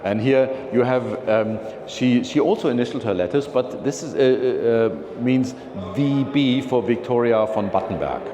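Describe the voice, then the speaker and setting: A man giving a slide talk to an audience, speaking haltingly with many fillers and restarts and calling for the next slide. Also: medium pitch, lively intonation, a crowd in the background. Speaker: presenter at a philatelic society meeting. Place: meeting hall. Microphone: handheld or lapel mic. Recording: echoey hall, noisy room recording.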